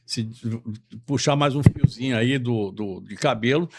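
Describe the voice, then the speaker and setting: A man's voice: medium pitch, unhurried, creaky, a little mellow. A man from Bahia hosting a talk show, chatting in a jokey, rambling way. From Bahia, Brazil. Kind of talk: casual talk show chat.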